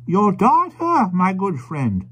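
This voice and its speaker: A mild, slow, high-voiced old stranger. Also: male voice, wildly swooping pitch, pushed and bright, breathy edge, exaggerated voice. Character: elderly mild stranger, quaint and composed.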